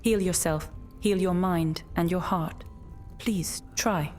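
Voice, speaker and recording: A woman's voice: fairly low pitch, slow, darkish tone. A woman playing an ancient witch in a fantasy audio drama, speaking in an earnest, portentous and formal way. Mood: earnest, pleading. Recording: music under the voice.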